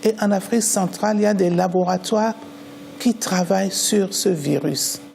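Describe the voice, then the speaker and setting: A man interviewed on a news program, explaining public health priorities in a measured way. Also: sits high, vocal fry, darkish tone.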